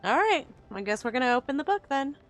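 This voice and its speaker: A woman's voice: sits high, big pitch swings, fast, slight background noise. A woman streaming a video game, chatting to viewers and reading the game's text aloud into a close mic.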